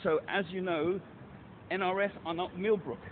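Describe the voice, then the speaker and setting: A man's voice: high voice, steady tone, normal speed, background noise. A man on a phone call, pressing his complaint urgently and repeating himself. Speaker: caller. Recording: tinny phone line.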